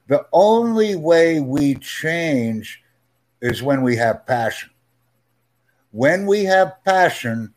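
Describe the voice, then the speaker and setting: A man speaks at a deliberately slow pace, with a slightly raised pitch. He is a tutor who talks in a friendly, emphatic way and often repeats himself for clarity. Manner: speaks slowly and clearly, friendly, emphatic repetition. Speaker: English tutor. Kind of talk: slow, clear teaching talk.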